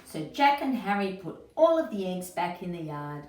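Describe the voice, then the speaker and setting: A woman's voice: on the low side, sing-song, moderate pace, a little mellow. A woman reading a children's storybook aloud expressively, as an educator talking to young listeners and putting questions to them. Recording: roomy echo, distant mic, faint noise.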